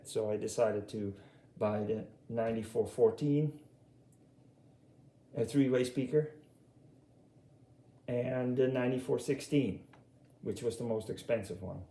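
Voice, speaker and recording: A middle-aged man from Europe giving a casual, unscripted walkthrough as a hobbyist, speaking haltingly and repeating words. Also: medium pitch, mellow. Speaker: audio hobbyist presenting his speaker collection. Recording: echoey room sound.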